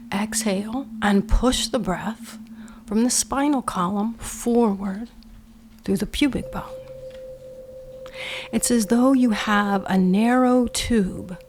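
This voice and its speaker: Lady leading a guided meditation, really slow, bit of vocal fry, hushed phrase endings, faint noise behind her. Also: medium pitch.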